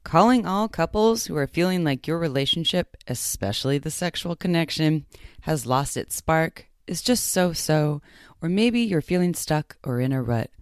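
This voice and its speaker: A woman's voice: low-pitched, animated, moderate pace, clean tone, no echo, clean studio sound. A woman hosting a podcast, speaking in a playful, informal and upbeat way. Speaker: podcast co-host. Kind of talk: upbeat promotional reading and casual podcast chat.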